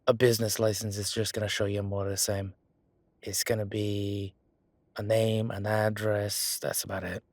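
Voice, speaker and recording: A man's voice: on the low side, little pitch movement, normal speed, no echo, natural voice. A man playing a chatty, sardonic records clerk who rambles wryly in a roleplay audio drama. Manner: rambling, wry, exaggerated feigned innocence.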